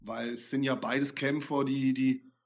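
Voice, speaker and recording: A man's voice: mid-range voice, little pitch movement, unhurried. A man with a stuffy, congested voice co-hosting a martial arts podcast, joking and enthusiastic in casual chat. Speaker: podcast co-host.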